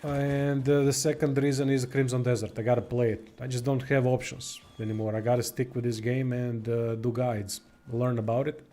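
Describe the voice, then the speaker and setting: Croatian-accented streamer in his thirties, dark relaxed tone, loose swearing banter over gameplay. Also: medium pitch, normal speed, hardly any pauses, a little hiss or hum. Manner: blunt, swears freely, rambling. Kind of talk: casual gameplay chat.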